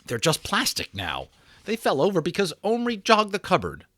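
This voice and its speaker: A man's voice: sits high, huge pitch swings, moderate pace, sharp tone, no echo, studio quality, voice pushed up, exaggerated voice. A man voicing a bossy, impatient schoolboy who scolds sharply in a storybook reading. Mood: scolding.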